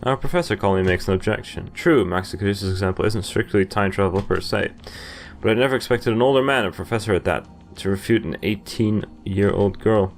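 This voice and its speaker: A young man with a fairly low voice hosts a casual game playthrough. He rambles a little, feeling his way through sentences and reading text aloud. Game music plays behind him. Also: brisk. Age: young adult. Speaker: gaming video host.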